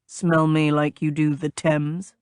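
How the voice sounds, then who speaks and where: A woman reading as a stern, deep-voiced captain. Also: flat delivery, takes it slowly, darkish tone, clean tone, no room sound. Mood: dry. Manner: clipped, dry, formal.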